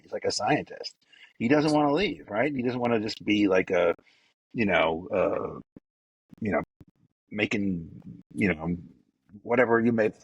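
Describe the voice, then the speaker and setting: A man's voice: on the low side, husky, no room sound, rough recording. A man co-hosting a casual podcast, talking loosely with jokes and many fillers. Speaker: podcast co-host.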